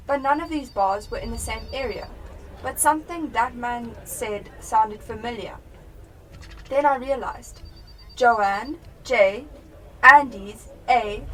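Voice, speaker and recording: A young woman playing a sharp, determined police detective in a radio drama. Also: on the high side, far from the mic, slight background noise, low-quality recording. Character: sharp, determined female police detective piecing clues together. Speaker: student actor in a school radio play.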